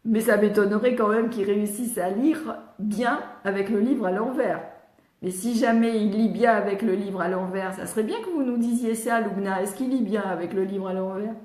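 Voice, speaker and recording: A woman's voice: mid-range voice, normal speed, a little mellow. An older woman with a slightly congested voice, talking parents through how children learn letters in an easy, chatty way. She calls viewers by name as she answers them live, and the sound bounces around a roomy space. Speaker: retired speech therapist answering parents live. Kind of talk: live Q&A teaching. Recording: roomy echo.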